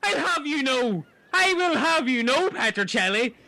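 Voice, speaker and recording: A man acts out a fussy island castaway with a very high, piercingly bright voice. He blusters melodramatically and swings widely in pitch, as in a homemade comedy audio drama. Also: sing-song, moderate pace, gravelly, exaggerated voice. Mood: indignant. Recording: honking, blown-out amateur audio.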